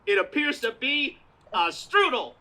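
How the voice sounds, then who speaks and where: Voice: sits high, sing-song, measured pace. An actor playing a flustered, awestruck radio news reporter who stammers through a melodramatic live report on an outdoor stage. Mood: amazed, stammering. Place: outdoors in a parking lot. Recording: outdoor stage recording, noisy background.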